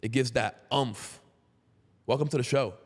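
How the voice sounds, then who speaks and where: A man's voice: medium pitch, measured pace. A man in his thirties from Brazil who grew up in Queens, hosting a casual podcast interview. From Brazil, moved to Queens, New York at seven. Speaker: podcast host. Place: indoor podcast studio. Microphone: close boom-arm studio microphone. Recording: roomy studio with long reverb.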